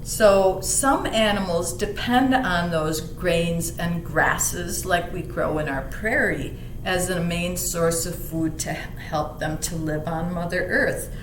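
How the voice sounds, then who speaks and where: Female library story reader for kids, slow explanatory delivery, mid pitch, echoey recording with slight rumble. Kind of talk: read-aloud children's lesson with explanations. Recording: roomy echo, faint rumbling background noise.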